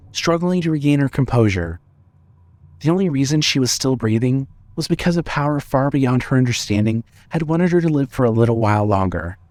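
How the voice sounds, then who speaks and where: Male narrator, horror audio fiction, dramatic scripted reading, middle pitch. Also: a little mellow.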